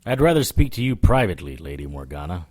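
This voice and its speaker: A tough investigator in an audio drama, his voice on the low side. He delivers both dialogue and first-person narration in a wry, deadpan, hardboiled way. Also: fast. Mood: firm, guarded. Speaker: lead actor and narrator.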